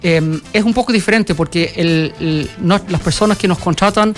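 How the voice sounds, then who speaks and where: Man, German accent, high voice, halting with fillers, radio studio interview, faint background music. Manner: halting, many fillers and restarts. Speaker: company founder guest.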